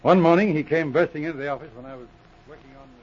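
An actor playing a brisk, gruffly good-humored veteran police officer in a 1940s radio drama. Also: male voice, on the high side, darkish tone, lightly acted. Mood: wry, reminiscing. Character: brisk, dry, loyal veteran police sergeant and inspector. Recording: muffled old radio recording.

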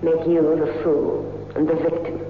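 An actor playing a coldly knowing, controlling manipulator in a 1960s radio horror drama, deliberate and insinuating. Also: male voice, on the high side, little pitch movement, echoey room, close to an everyday voice. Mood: insinuating, commanding. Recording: muffled, hissy 1960s radio recording.

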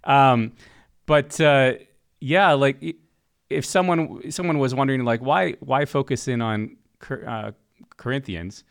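A man's voice: mid-range voice, unhurried, creaky, clean tone. A man from Massachusetts hosting a casual discussion, friendly and rambling with many restarts. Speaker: podcast host.

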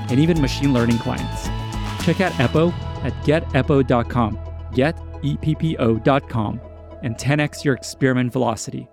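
Man reading ad spots over guitar music. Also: mid-range voice. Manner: smooth scripted ad delivery. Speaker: podcast host. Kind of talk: read ad spots and interview questions.

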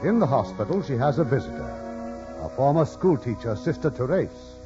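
A man's voice: mid-range voice, sing-song, normal speed, natural voice. A man as a dramatic radio play narrator. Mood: solemn, dramatic. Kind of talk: 1940s radio scripted narration. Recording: muffled vintage radio broadcast with music.

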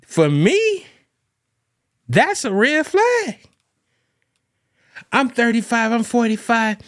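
A man's voice: very high voice, wildly swooping pitch, takes it slowly, no echo, studio quality. A man hosting a show alone and talking casually about relationships, rambling with lots of fillers and restarts. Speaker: podcast host. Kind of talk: casual solo talk on relationships.

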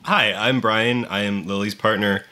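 Young American man, low bright voice. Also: fairly even intonation, measured pace. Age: 20s. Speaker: podcast guest. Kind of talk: casual chat.

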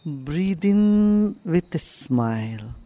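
A woman guides a meditation at a very slow, unhurried pace. Her voice is low and mellow, and she gives each instruction gently and soothingly. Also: sing-song.

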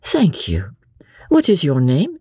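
Woman putting on a coaxing, mellow foreign lady gambler. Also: deep voice, wildly swooping pitch, takes it slowly, breathy edge, no echo, clean studio sound, pitched above the performer's own voice, exaggerated voice. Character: small masked foreign lady gambler, wistful, coaxing, sly.

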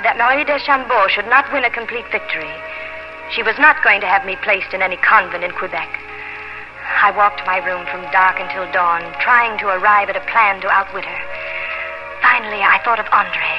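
Actress for determined young colonial heroine, old-time radio drama manner, muffled vintage sound with music. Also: female voice, mid-range voice, fairly quick, close to an everyday voice. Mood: wistful, resolute. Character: Nancy, determined young woman fleeing through colonial wilderness. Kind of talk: 1950s radio drama acting, first-person narration.

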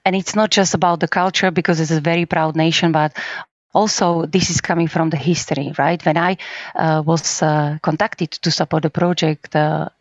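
A woman's voice: low voice, fairly even intonation, moderate pace, dry recording, lo-fi sound. A middle-aged woman from Slovakia with a Slovak accent, speaking English as a guest in a podcast interview with many fillers and tag questions. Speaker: business services team leader, guest.

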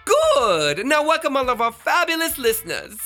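A woman's voice: high voice, huge pitch swings, normal speed, fairly bright tone, soft background music, full character voice. A woman voicing a flamboyant, bossy, self-adoring radio star in a children's comedy audio drama, with a theatrical manner. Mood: exasperated. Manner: theatrical, exasperated, showy.

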